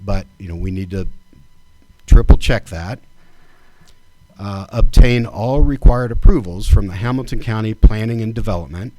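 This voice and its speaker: Man, low voice, dry close sound, deliberate formal reading, township meeting. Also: dark tone, no echo, poor audio. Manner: measured, deliberate, reads items one by one. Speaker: township trustee.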